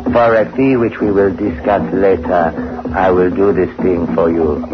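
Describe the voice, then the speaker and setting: Deep, slow, sinister supernatural fixer. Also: male voice, steady tone. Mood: ominous. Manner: deliberate, formal, ominous phrasing. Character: sinister supernatural fixer, male, calm, cunning and knowing. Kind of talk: 1960s radio horror acting. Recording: muffled old radio recording, loud music.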